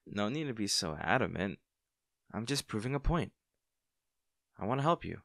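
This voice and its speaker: A man's voice: on the low side, animated, measured pace, gravelly, no room sound, clean studio sound, heavily acted. A man playing a kind young barista with a shy crush, speaking reassuringly and a little hesitantly in an intimate audio roleplay. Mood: caring, reassuring. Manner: soft-hearted, reassuring, hesitant. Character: kind young male barista, caring, shyly smitten. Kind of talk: intimate comfort audio roleplay, natural conversational acting.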